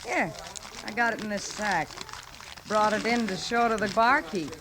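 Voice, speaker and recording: A woman's voice: medium pitch, normal speed, very noisy, natural voice. A woman playing a sassy, teasing frontier saloon gal with an American accent and a brash, playful way of talking, in an old-time radio western.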